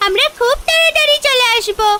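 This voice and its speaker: A woman's voice: extremely high pitch, animated, fairly quick, heavily acted. A woman voicing an eager, pleading little village girl in a cartoon fairy tale. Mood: wistful. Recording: loud rain noise behind.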